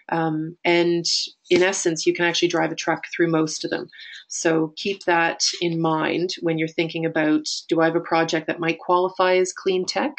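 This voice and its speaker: A woman executive director with a raspy voice and a Canadian accent, presenting a webinar in a steady, informal way with many pauses to think. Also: deep voice.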